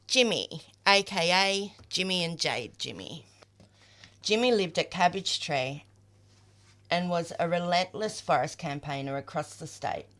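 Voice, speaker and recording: Deep-voiced Australian woman reading memorial tributes. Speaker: podcast reader of memorial tributes.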